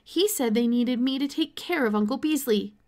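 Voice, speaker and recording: An excitable, earnest storybook boy, acted out by a woman reader. The voice jumps high and exclaims with open eagerness. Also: normal speed, natural voice. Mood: pleading.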